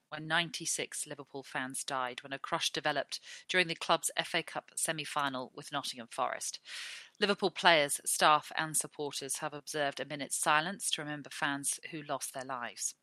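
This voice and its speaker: A woman with a low-pitched yet bright, clear-cut tone presents a discussion show. Her delivery is measured and scripted, and the sound is close and dry. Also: dry recording. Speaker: podcast presenter.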